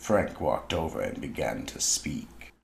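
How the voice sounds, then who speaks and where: A man's voice: a bass voice, sing-song, measured pace, vocal fry, a little hiss or hum. A man reading scripted narration for a radio mystery drama.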